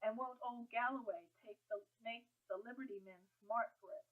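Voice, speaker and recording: A woman's voice: a little high, moderate pace, close to an everyday voice. A fiery, indignant colonial lad , read by a woman, defiant in every line. It is storybook dialogue on a muffled, narrow-band recording. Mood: furious resolve. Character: hot-headed colonial teenage boy, defiant and indignant. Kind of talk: audiobook character dialogue.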